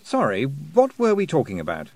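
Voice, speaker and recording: A man's voice: a little high, huge pitch swings, normal speed, gravelly, dry recording, voice pushed up, exaggerated voice. A man voicing an apologetic, dry-witted talking toad in a storybook, fretful and hesitant. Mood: flustered.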